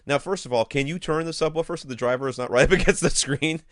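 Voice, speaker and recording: A man's voice: on the high side, fast talker, dry recording, studio quality. A man co-hosting a podcast, answering listener questions in casual technical chat with a blunt, emphatic, joking manner. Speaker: podcast co-host.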